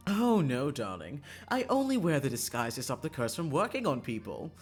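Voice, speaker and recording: A man's voice: mid-range voice, big pitch swings, normal speed, husky, soft background music. A man putting on a coy, flirtatious dandy. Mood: playful, airy.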